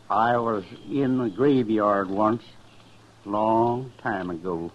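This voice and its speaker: An actor playing an easygoing, fatalistic drunken drifter in a 1950s western radio drama, with folksy, drawling talk. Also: male voice, lowish voice, slight background noise. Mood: brooding. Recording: muffled old radio recording.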